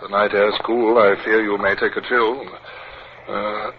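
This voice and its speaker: An actor playing a reserved, courteous middle-aged naval captain, formal and a little hesitant, in an old radio drama. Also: male voice, lowish voice, flat delivery, unhurried. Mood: awkward, tender. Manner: formal, hesitant when speaking personally. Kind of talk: old-time radio drama acting and narration. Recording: muffled, noisy 1950s radio recording.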